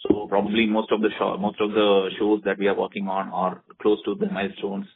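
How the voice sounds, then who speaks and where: A man's voice: lowish voice, monotone, brisk. A man with an Indian accent speaking as a company executive on an earnings call, over a narrow phone line. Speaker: company executive presenting results. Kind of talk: earnings call presentation and answers. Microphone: telephone conference call.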